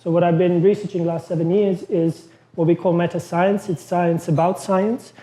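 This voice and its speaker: A man's voice: high-pitched, little pitch movement, normal speed, mellow. A man in his thirties from Croatia, not a native English speaker, giving a loose, chatty conference talk as a lecturer. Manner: chatty, loose phrasing with fillers. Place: lecture room. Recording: echoey lecture room.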